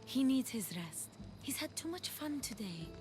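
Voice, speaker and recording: A woman's voice: sits high, big pitch swings, normal speed. An actress playing a tender young mother and loyal daughter in a dramatic video game, speaking with emotion. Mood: warm, playful. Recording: loud music behind the voice.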